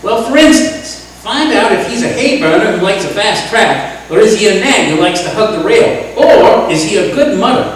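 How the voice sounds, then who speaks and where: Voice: mid-range voice, lively intonation, moderate pace, hardly any pauses, natural voice. An actor playing a slangy, scheming small-time gambler boyfriend in old-time radio comedy style. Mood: skeptical, exasperated. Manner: slangy, exasperated wisecracks. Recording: very echoey room, distant mic, faint noise.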